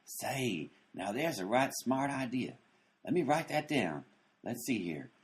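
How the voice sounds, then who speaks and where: A man's voice: mid-range voice, big pitch swings, a little slow. A man playing a dim-witted, unbothered sandwich maker in a radio comedy, speaking in a folksy, matter-of-fact way. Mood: obtuse, unbothered.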